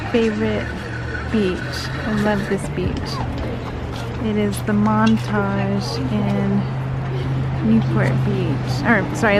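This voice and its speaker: A woman's voice: medium pitch, very noisy. A middle-aged woman chatting casually and giggling as she films a family vlog outdoors on a handheld camera. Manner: giggly, chatty, laughs often. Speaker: vlogger filming her family. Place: outdoors at a beach resort.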